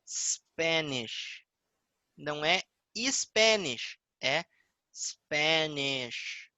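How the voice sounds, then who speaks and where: A male English teacher with a Brazilian Portuguese accent, explaining a lesson patiently and repeating words slowly. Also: fairly high pitch, lively intonation, sharp tone, no room sound.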